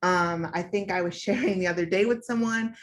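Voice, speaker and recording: A woman's voice: on the low side, fairly quick. A young woman from the United States telling a personal story on a panel, in a candid, reflective way with frequent fillers.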